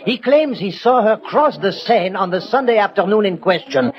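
Excitable French police prefect, self-satisfied and pompous, high voice, muffled noisy old radio drama recording. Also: male voice, normal speed. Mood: eager. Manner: pompous, triumphant, exclamatory. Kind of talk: 1960 radio mystery acting.

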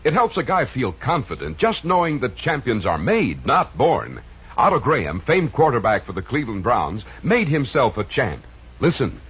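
A man's voice: medium pitch, wildly swooping pitch, moderate pace, fairly bright tone, slightly rough. A man delivering a hearty, punchy 1950s radio commercial as its announcer, often in rhyme. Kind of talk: 1950s radio ad pitch, rhyming. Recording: dull old radio recording.

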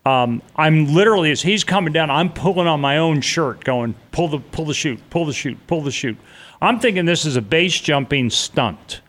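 A man's voice: on the high side, expressive, normal speed, fairly bright tone. A middle-aged man telling a personal story in an interview, blunt and vivid, with casual swearing. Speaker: interview guest.

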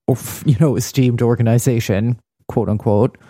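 A man's voice: mid-range voice, steady tone, moderate pace, mellow, no echo, studio quality. A man co-hosting a casual TV recap, with a critical, wry, digressive way of talking. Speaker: podcast co-host.

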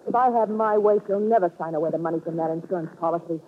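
A woman's voice: lowish voice, fast talker, lightly acted. A woman playing a wary, blunt young American woman, streetwise and loyal, in a 1940s radio drama. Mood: indignant. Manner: suspicious, blunt. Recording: scratchy, tinny 1940s radio recording.

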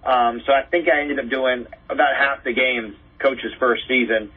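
Husky male sports announcer, muffled line. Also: medium pitch, steady tone, normal speed. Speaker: interview guest, radio play-by-play announcer. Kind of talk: conversational storytelling in an interview.

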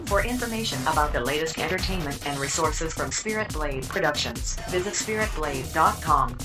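A woman's voice: sits low, expressive, moderate pace. A woman reading a scripted podcast outro as an announcer. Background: loud electronic music.

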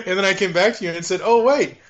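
Chatty male podcast host, lo-fi sound. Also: sits high. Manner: chatty, laughs often, rambles through plans. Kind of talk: casual podcast chat.

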